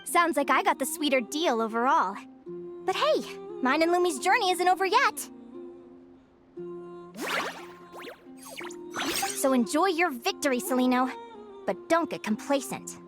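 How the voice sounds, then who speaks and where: Perky young female game adventurer, very high-pitched, wildly animated delivery, earnest, stubbornly optimistic. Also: normal speed, over music. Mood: upbeat, teasing. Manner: bubbly, excitable, earnest. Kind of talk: animated video game voice acting.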